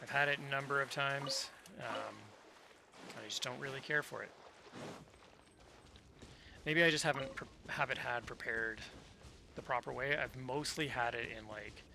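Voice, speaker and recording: A man's voice: medium pitch, moderate pace, gravelly, pauses often, background noise. A man giving casual live gameplay commentary as a streamer, playfully quipping along with the game. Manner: playful, echoes and quips at game dialogue. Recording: game music behind voice. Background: video game music.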